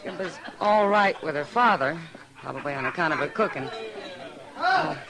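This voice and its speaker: A woman's voice: on the low side, sing-song, moderate pace, a bit bright, rough recording. An American woman playing a knowing, familiar frontier saloon woman in a 1950s radio western. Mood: confiding. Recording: old radio, crowd chatter behind.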